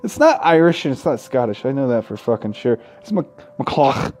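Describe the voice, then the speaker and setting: A man's voice: medium pitch, big pitch swings, normal speed, a little mellow. A man hosting a gaming video, reading visual novel text aloud and adding casual, irreverent commentary. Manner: irreverent, sweary heckling between read passages.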